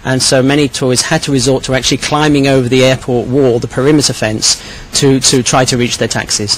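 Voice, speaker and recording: A man giving a measured, explanatory account in an interview, sometimes repeating phrases as he goes. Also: medium pitch, fairly even intonation. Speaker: hotel owner and tourist police volunteer interviewee. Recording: clearly noisy location recording with ambient sound.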